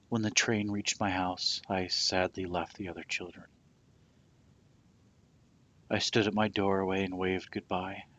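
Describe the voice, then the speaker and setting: A man reading a children's story aloud expressively in a husky, breathy voice, with a hushed, dramatic and deliberate delivery. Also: sits low. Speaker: storybook reader.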